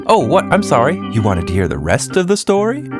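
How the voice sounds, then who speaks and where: A man's voice: on the high side, fairly quick, over music. A man telling a story for children as its author, playfully teasing and speaking straight to the listener. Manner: animated, teasing, talks directly to the listener.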